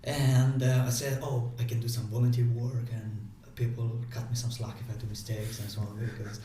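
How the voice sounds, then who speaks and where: A man who is not a native English speaker, a guest giving casual interview answers in a halting way, with many restarts and fillers. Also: medium pitch, level tone, mellow. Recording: echoey room, distant mic, faint noise.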